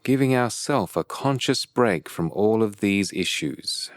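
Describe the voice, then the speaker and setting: Meditation guide, male, lowish pitch, unhurried and measured delivery with deliberate pauses, dry recording. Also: animated, no room sound. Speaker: meditation teacher.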